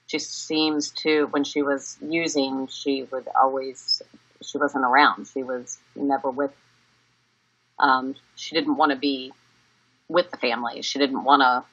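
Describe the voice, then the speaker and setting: An American woman in her early fifties giving candid, halting interview answers. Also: very deep voice, little pitch movement, a bit bright, husky. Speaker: interviewee, family member. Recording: dull, lo-fi sound.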